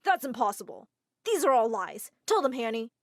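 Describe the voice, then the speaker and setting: A woman voicing an angry, abusive young man who speaks loudly and indignantly. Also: fairly high pitch, very animated, normal speed, no echo, studio quality. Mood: furious. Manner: indignant and loud.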